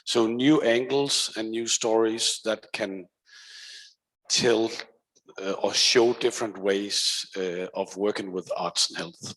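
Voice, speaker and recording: Danish male consultant, lowish voice, loose intro. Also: steady tone, slow pace, a bit bright, poor audio. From Denmark. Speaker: arts and health consultant. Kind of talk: roundtable self-introduction.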